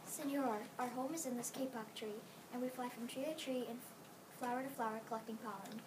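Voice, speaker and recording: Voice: mid-range voice, steady tone, fairly quick. A child of about nine or ten reading a scripted story aloud in a readers theater. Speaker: student reader. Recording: noisy room, distant microphone.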